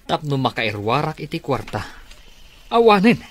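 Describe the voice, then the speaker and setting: Ilocano radio drama villain: scheming, power-hungry man, played by an actor, mid register, very lively intonation. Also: medium pitch, normal speed, heavy background noise, lightly acted. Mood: menacing, frustrated.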